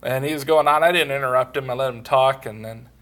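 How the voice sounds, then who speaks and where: A man's voice: fast talker, a bit bright. A man in his forties preaching a sermon fervently, building up as he speaks. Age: 40s. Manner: fervent, building in pitch and volume.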